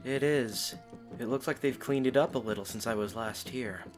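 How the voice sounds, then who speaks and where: A man's voice: mid-range voice, normal speed, music in the background, close to an everyday voice. A man acting as a wry, modest young swordsman in an audio drama. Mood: soft, agreeable.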